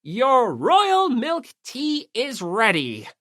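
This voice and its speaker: A woman's voice: on the high side, huge pitch swings, extremely slow, fairly bright tone, no room sound, clean studio sound, voice pushed up, exaggerated voice. Woman doing a squealing, servile, pompous teenage boy. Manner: florid, squealing, overformal. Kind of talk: anime-style audiobook character acting.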